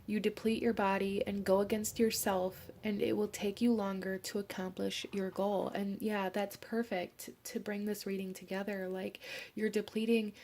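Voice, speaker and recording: A young woman, hoarse from a cold, giving a gentle pick-a-card tarot reading and slipping into soft whispers. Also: medium pitch, little pitch movement, normal speed. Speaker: tarot reader.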